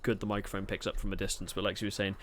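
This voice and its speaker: A man's voice: low-pitched, completely flat delivery, racing, a little hiss or hum. A man streaming a space game, chatting casually, reading chat aloud and laughing often.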